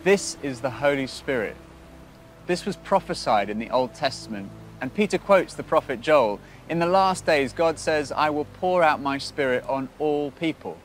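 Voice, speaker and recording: Casting: British male presenter, thirties, earnest faith storytelling, measured phrases and pauses, music underneath. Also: fairly high pitch, lively intonation. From Britain. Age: 30s.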